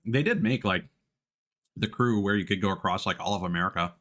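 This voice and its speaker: A man's voice: low-pitched, brisk, a bit bright. A man streaming a video game with casual, informal, slangy commentary on a close mic. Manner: chuckles through remarks, informal slang. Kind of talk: casual live gameplay commentary.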